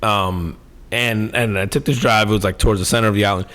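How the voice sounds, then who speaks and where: A man's voice: on the low side, steady tone, fast talker, a bit bright. A man co-hosting a podcast in casual chat, enthusiastic and slangy, swearing freely. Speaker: podcast co-host.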